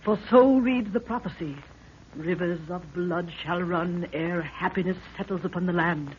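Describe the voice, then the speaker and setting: A woman's voice: fairly low pitch, moderate pace, lightly acted. Woman playing a stately, solemn queen, old radio. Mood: solemn, urgent. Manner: archaic, stately, declamatory speech. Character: proud, solemn queen of a lost medieval people. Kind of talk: 1930s radio adventure acting. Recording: dull, noisy 1930s radio recording.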